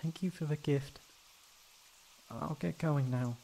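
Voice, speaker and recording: A man's voice: a little high, slow pace, vocal fry, mellow, a little hiss or hum. A man voicing a proud but insecure, emotional tsundere catboy in an ASMR roleplay, with hesitant, stammering speech. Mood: hesitant, wistful.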